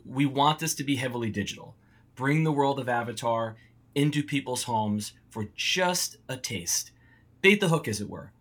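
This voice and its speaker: A man playing a polished marketing executive, delivering an earnest sales pitch in a scripted audio comedy. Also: a bit bright, close to an everyday voice. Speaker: marketing company founder. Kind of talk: scripted presentation.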